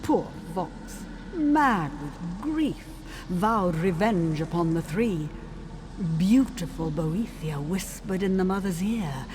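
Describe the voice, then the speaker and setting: Sly gleeful game narrator egging on vengeance, lowish and slow, noisy audio. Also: female voice. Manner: theatrical, relishing each word. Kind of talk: dramatic storytelling in a fantasy video game. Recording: clearly noisy background.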